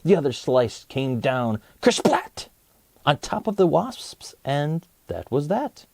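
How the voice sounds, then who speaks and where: A man hosting a children's story channel, reading aloud in an expressive, rhythmic storytelling style. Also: on the high side, slow, gravelly, no echo.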